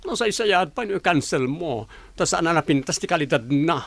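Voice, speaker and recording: A woman's voice: sits low, expressive, normal speed, creaky, no echo, heavily acted. Woman acting a blunt, teasing Ilocano workmate. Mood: blunt, curious. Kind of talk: Ilocano radio-style drama acting.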